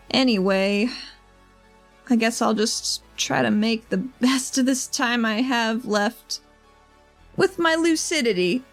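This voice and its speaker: A woman playing a troubled, earnest regulator in a tabletop roleplay, speaking in a halting, pensive way and often trailing off. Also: fairly high pitch. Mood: resigned, sad. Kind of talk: improvised tabletop roleplay dialogue.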